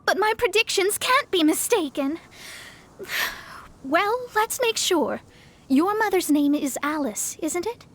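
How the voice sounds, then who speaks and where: A woman's voice: very high voice, lively intonation, takes it slowly, heavily acted. A young woman voicing a proud female astrologist in a game cutscene, poised and lofty with a slightly condescending manner. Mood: exasperated. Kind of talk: video game cutscene voice acting. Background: soft game music.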